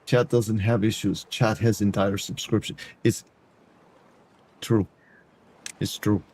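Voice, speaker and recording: A man's voice: on the low side, little pitch movement, takes it slowly, a little mellow, breathy edge. A Canadian man streaming a game, chatting to his viewers in a put-on foreign accent that drops articles. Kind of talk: casual gameplay chat with viewers.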